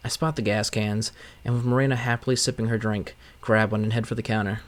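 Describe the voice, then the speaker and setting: A man's voice: lowish voice, little pitch movement, quick pace. A man reading a visual novel aloud as scripted narration. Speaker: gaming streamer. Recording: clear music playing behind.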